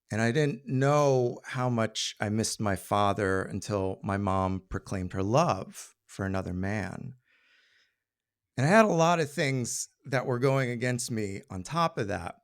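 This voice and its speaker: An American man of middle age tells a personal story to a live audience. His mid-pitched voice is very animated, rising and dipping widely. He talks in a wry, conversational way and briefly acts out the people he quotes. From Maryland, United States.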